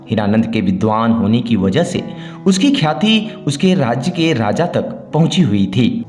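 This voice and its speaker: A man's voice: medium pitch, a little mellow. A man narrating a scripted moral story as a storyteller. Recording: roomy echo, music behind. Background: background music.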